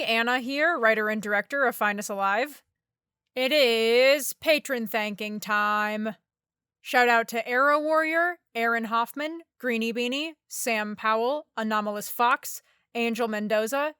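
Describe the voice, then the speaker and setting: Bright, high-voiced woman making announcements. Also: clean tone, no echo, studio quality. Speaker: writer and director announcing. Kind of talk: scripted podcast announcement.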